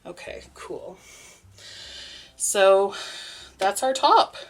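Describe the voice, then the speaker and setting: A woman's voice: medium pitch, expressive, extremely slow. Chatty, sniffly young woman soap maker. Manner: chatty, laughs often, rambling with many fillers. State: sniffly, congested nose. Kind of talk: casual vlog narration while working.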